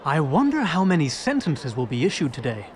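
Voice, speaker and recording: An actor in an audio drama playing a curious, outspoken, privileged young gentleman. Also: a little high, wildly swooping pitch, a little fast, a little hiss or hum. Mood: earnest.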